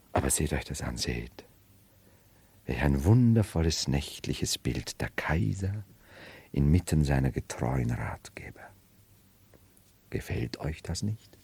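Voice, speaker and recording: Radio drama for a brooding, supposedly mad emperor: low male voice, mercurial theatrical delivery, dry close sound. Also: gravelly, dark tone, slightly rough, dry recording, heavily acted. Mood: musing, dreamy. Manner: theatrical, mercurial, dwelling on words then bursting out. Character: brooding nobleman feigning madness as a medieval emperor. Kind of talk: 1970s German radio play acting.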